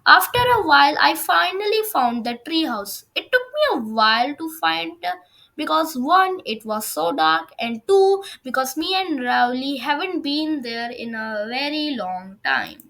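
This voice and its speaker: A woman's voice: high-pitched, big pitch swings, measured pace, gravelly, pushed and bright. A woman doing an amateur read-aloud of a first-person story, now and then stumbling over the words. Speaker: fan fiction reader on own video channel.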